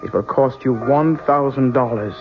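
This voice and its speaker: A man playing a sly, mercenary older woman who tells fortunes, theatrical and measured, in 1970s radio drama style. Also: medium pitch, close to an everyday voice. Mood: hard bargaining. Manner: theatrical, measured, businesslike haggling. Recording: dull old radio recording with music.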